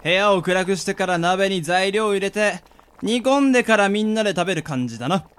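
A young man with a high voice, played by a man in a radio drama. He comes across as cocky and mischievous, a friend who likes stirring things up. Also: lively intonation, brisk, a bit bright, clear, resonant tone. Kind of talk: amateur Japanese radio drama acting.

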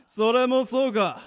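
A man's voice: extremely high pitch, dry recording, studio quality, heavily acted. A man playing a boastful ghostly wizard nobleman and doting father in a radio drama, with pompous, old-fashioned lordly speech. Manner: pompous, archaic lordly phrasing. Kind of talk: Japanese anime-style radio drama acting.

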